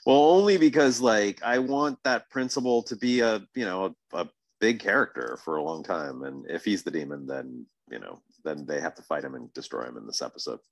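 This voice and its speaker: A man's voice: low voice, expressive, fairly quick, creaky, hardly any pauses, no echo. A man podcast host giving casual, exclamatory reactions to a TV show, often repeating himself for emphasis.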